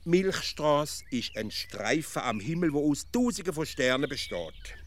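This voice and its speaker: An actor with a Swiss German accent playing a stubborn, earnest provincial amateur philosopher in a comic radio play, explaining haltingly and repeating his words. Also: on the high side, animated, slight background noise. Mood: wondering, enthusiastic. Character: naive know-it-all provincial male philosopher, stubborn, earnest.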